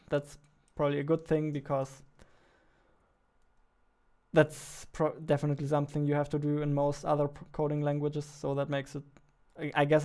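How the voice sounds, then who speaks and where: A man in his twenties talks casually, thinking aloud like a developer at his desk. His voice is fairly high for a man, clean and smooth. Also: warm tone. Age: 20s. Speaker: game developer, video host. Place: small indoor room at a computer.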